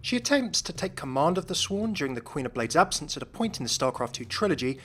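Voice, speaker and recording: Higher-pitched male explainer narrator. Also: big pitch swings, quick pace, fairly bright tone. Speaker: video host, narrator.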